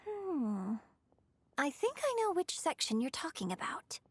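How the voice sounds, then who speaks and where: High-voiced, poised young opera star. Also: female voice, big pitch swings, a little hiss or hum. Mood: thoughtful. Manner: courteous, measured, softly thoughtful. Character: poised, gracious young female opera star, politely reserved. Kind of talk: video game voice acting. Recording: music bed under the voice.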